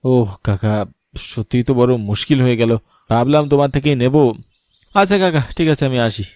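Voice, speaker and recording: A man's voice: mid-range voice, moderate pace, darkish tone, dry recording, natural voice. A man playing a friendly, easygoing village man in a cartoon, speaking casually and familiarly. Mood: let down. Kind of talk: cartoon dialogue.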